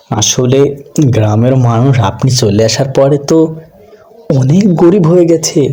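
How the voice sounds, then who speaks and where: Sly cartoon villager acted by a man, mellow dark tone, wheedling flattery, rambling. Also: a little high, close to an everyday voice. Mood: mournful, wheedling. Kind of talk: cartoon voice acting.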